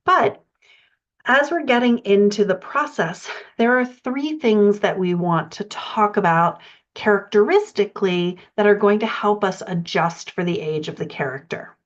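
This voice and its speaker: A woman speaking as a writing coach, giving a measured, methodical instructional explanation point by point. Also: mid-range voice, expressive, lo-fi sound.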